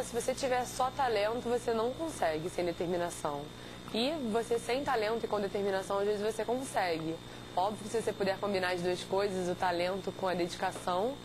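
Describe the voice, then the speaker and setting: A woman's voice: medium pitch, noisy background. A woman in her twenties with a Carioca accent, a swimmer answering interview questions earnestly. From Rio de Janeiro, Brazil. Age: 20s. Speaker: competitive swimmer, interview guest.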